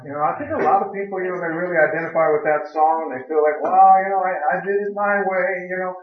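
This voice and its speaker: A man preaching a sermon as pastor, in a relaxed, conversational way that rises for emphasis. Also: sits high, fast. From raised in the country where he speaks, years in Taiwan. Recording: echoey room, distant mic, dull sound.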